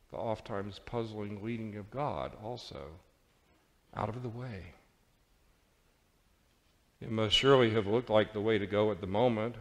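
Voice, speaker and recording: A man preaching a sermon with deliberate, dramatic phrasing and pauses. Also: on the low side. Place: large church sanctuary with congregation. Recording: reverberant church hall.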